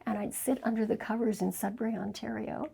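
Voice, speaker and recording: An older woman born in Scotland gives a candid, reflective interview, pausing with fillers. Her voice is gravelly and husky. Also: on the high side, fairly even intonation, dark tone, low-quality recording. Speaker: interview guest, documentary filmmaker. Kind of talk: conversational interview.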